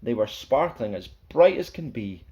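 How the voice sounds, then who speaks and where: Male storyteller for a children's picture book: animated rhyming read-aloud, playful, theatrical, mid-pitched. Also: unhurried. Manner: playful, theatrical, sing-song rhythm.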